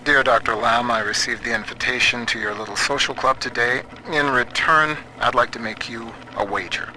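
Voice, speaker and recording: An actor playing a shrewd, principled American computer scientist in a video game, middle-aged, wry, measured and plain-spoken. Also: male voice, mid-range voice, noisy background, lightly acted. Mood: wry, defiant. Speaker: guide character. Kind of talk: video game voice acting, audio diaries and radio guidance.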